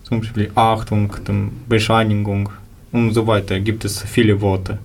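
A seventeen-year-old man from Ukraine with a Ukrainian accent, answering interview questions in halting speech full of fillers as he searches for words. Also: fairly low pitch, level tone, slight background noise. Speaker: interview guest.

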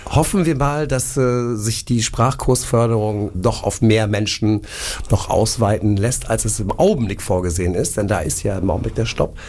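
A man's voice: lowish voice, normal speed, darkish tone. A man interviewing a guest, working through his questions thoughtfully with hypothetical examples. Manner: thoughtful, poses hypothetical cases.

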